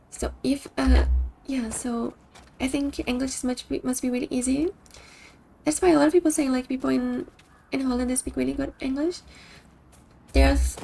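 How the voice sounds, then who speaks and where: Brazilian woman, high gravelly voice. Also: darkish tone, poor audio. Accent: Brazilian Portuguese. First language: Portuguese. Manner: thoughtful, restarts sentences while searching for words. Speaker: streamer. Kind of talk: casual chat with stream viewers.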